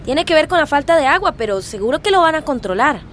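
A woman's voice: high voice, sing-song, quick pace, a little hiss or hum. An actress playing a concerned but reassuring townswoman in a radio drama. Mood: worried, reassuring.

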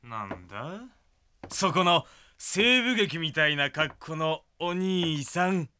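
High-pitched, rough street thug. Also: male voice, animated, takes it slowly, sharp tone, exaggerated voice. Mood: sneering. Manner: rough, exaggerated. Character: loud, bullying male street thug, sneering. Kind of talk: Japanese audio drama acting.

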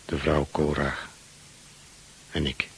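An actor playing a seafaring man who narrates grim memories in a measured, sombre radio play style. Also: rumbling low, level tone, fairly bright tone, slightly rough, heavily acted.

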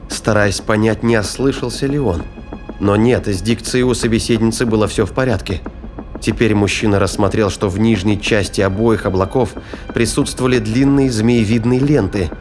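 A man giving a measured, grave scripted narration for a sci-fi thriller audio drama. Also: on the low side, darkish tone. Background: faint background music.

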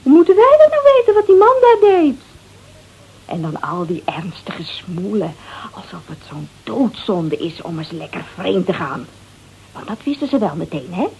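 A woman's voice: lowish voice, moderate pace, a little hiss or hum, poor audio. A woman playing a brash, bored, flippant housewife in a Dutch radio drama, slangy and gossipy with a Netherlands accent. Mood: indignant, probing. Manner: slangy, gossipy, theatrically expressive.

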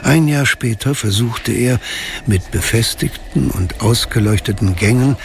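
A man narrating a radio drama as a brooding storyteller of a dark mystery, in a measured, ominous manner. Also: mid-range voice, vocal fry, a little hiss or hum.

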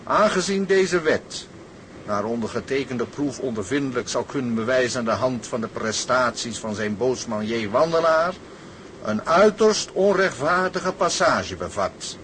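An actor playing a formal, kindly older sea captain in a radio drama, with a pompous, declamatory way of speaking. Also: male voice, medium pitch, lively intonation, measured pace, a bit bright, slight background noise, rough recording. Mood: solemn, pompous. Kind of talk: Dutch radio drama acting.

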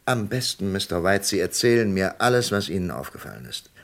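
An actor playing a calm, shrewd FBI investigator in a vintage radio crime drama, asking patient, probing questions. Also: male voice, low-pitched, brisk. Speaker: detective in a radio crime play.

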